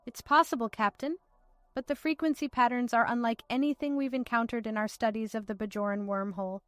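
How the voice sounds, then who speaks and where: A woman's voice: on the high side, steady tone, fairly quick, slight background noise, natural voice. A woman playing an analytical, dutiful starship science officer in a sci-fi audio drama, speaking precisely and formally. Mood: formal, reporting.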